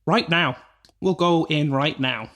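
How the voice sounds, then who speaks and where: An actor in a radio play as a brisk, placating man who soothes and dismisses fears. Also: fairly high pitch, fairly bright tone. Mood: reassuring. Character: reassuring, dismissive man calming a frightened woman.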